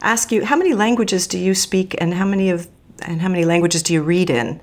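A woman's voice: lowish voice, a little fast. A woman interviewer asking brief, encouraging questions.

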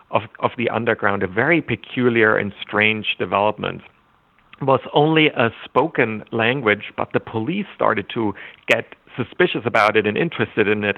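A man whose first language is German, a literature scholar giving thoughtful, halting interview answers with frequent restarts. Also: on the low side, creaky, narrow, tinny audio. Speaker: literature scholar and anthology editor.

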